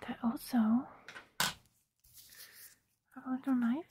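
A woman in her twenties doing a whispered ASMR roleplay, speaking close to the mic in a breathy, gentle, reassuring way. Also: fairly high pitch, steady tone, takes it slowly, noisy background. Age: 20s. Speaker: ASMR roleplayer playing a surgeon. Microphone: close mic, speaking through a surgical mask.

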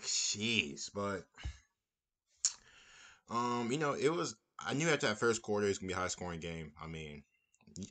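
A young man giving a casual, rambling post-game reaction from a small room, repeating himself as he talks through the game. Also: low-pitched. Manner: relaxed, rambling, repeats phrases. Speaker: basketball fan commentator.

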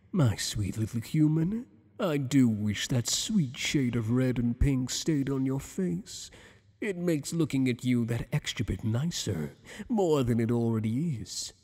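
A man's voice: medium pitch, expressive, warm tone, slightly rough, big-room sound. A man voicing a teasing, possessive monster boss. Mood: flirtatious. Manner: teasing, flirtatious, slow and intimate. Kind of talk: ASMR roleplay.

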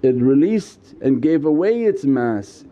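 A man's voice: medium pitch, very animated, takes it slowly, lo-fi sound. An older man teaching a spiritual lecture, seated indoors and speaking into a small stand mic with emphatic gestures. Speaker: religious teacher. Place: indoors, seated on ornate couch before curtains. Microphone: small mic on stand in front.

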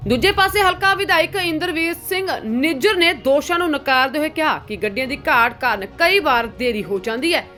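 A high, bright female voice reads a scripted news voice-over. Faint music plays behind her. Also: animated, fairly quick, hardly any pauses. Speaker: news narrator.

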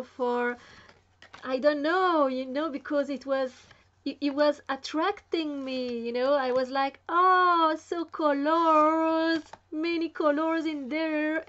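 Young woman, french is her first language, slow high chatty talk full of "you know", muffled webcam sound. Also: a bit bright. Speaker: spiritual vlogger. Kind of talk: casual talk to camera. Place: small room indoors at home.

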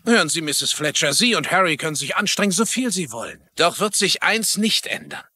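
A skeptical cop in a tv dub, bright voice a bit high, wry. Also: male voice, sing-song, fairly quick, heavily acted. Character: skeptical, wry male police lieutenant. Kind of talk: German TV dubbing.

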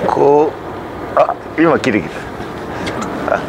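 A man's voice: medium pitch, animated, slow, gravelly. A man giving casual fishing commentary, muttering reactions and explaining informally as host. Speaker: fishing show host and angler. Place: open boat at night, outdoors. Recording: noisy outdoor boat recording, wind. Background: boat and wind noise.

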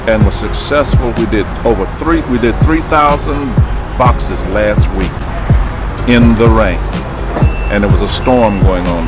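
An older man from a church, speaking in a measured, proud way in an outdoor interview. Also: on the low side, poor audio. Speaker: church member. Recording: outdoor recording with loud music. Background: music.

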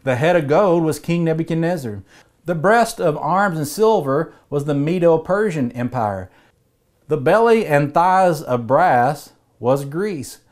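A man in his forties preaching a scripted sermon, reading Bible verses in a measured, earnest way, recorded in an indoor study with bookshelves. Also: on the high side, expressive, darkish tone.

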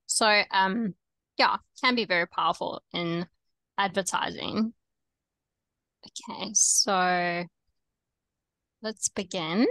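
A young woman with a South African accent, coaching online teachers over a video call in a relaxed, chatty way with frequent ums. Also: medium pitch, takes it slowly, long pauses, no room sound, low-quality recording. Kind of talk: webinar presentation.

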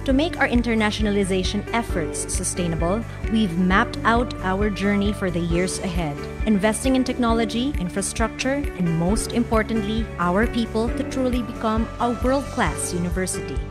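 A woman narrates a promotional video in a voice of average pitch. Her delivery is scripted and presentational. Music plays clearly underneath the narration. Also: moderate pace, hardly any pauses.

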